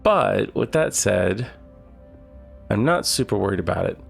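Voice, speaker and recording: A man's voice: on the low side, unhurried, gravelly, breathy edge, faint music behind. A man with a cold, sounding nasal, casually commenting on his gameplay. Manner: relaxed, thinking aloud. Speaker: gaming channel host.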